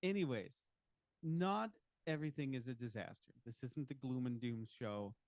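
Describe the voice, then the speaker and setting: A man's voice: medium pitch, normal speed, darkish tone, dry recording. A man co-hosting a casual podcast chat, rambling and joking as he talks, often restarting his sentences. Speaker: podcast co-host. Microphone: home podcast mic, video recorded.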